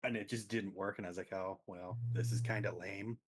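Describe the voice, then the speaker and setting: A man co-hosting a casual podcast, talking in a rambling, self-correcting way, his volume rising and falling with his excitement, recorded quiet in the mix. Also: low-pitched, brisk, breathy edge. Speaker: podcast co-host.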